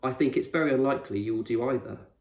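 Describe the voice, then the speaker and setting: A man voicing an eccentric, proud male explorer in a storybook, speaking with measured authority and reassurance. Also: medium pitch, a little mellow, natural voice. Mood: reassuring. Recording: roomy echo, distant microphone.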